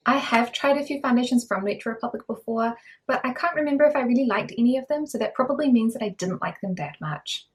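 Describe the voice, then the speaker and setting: A young adult woman reviewing a beauty product at home in a casual, chatty way, her voice lowered by illness. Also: breathy edge. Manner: chatty, frequent fillers. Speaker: beauty vlogger. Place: at home indoors.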